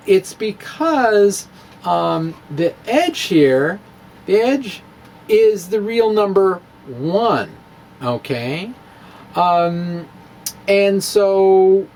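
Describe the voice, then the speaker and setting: A man giving a playful, self-mocking demonstration of math with clay and pipe cleaners. He often pauses and restarts while he works with his hands. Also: sits high, a little mellow. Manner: wry, self-mocking, chuckles at own jokes. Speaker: physics blogger demonstrating math.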